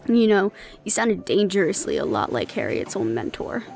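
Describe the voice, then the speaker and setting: A woman's voice: medium pitch, sing-song, moderate pace, vocal fry, a little mellow, faint music behind. A woman acting in an audio roleplay as a young adult daughter, defiant, loyal and argumentative, with an emotional, sarcastic edge. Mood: hurt, resolute.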